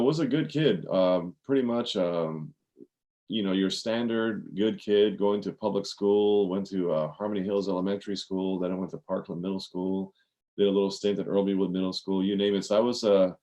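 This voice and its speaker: A man's voice: fairly low pitch, steady tone, normal speed, dark tone, dull audio. A man in his thirties from Maryland, a podcast guest telling his life story earnestly, with many fillers and restarts. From Maryland, USA. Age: 30s. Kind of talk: casual interview storytelling.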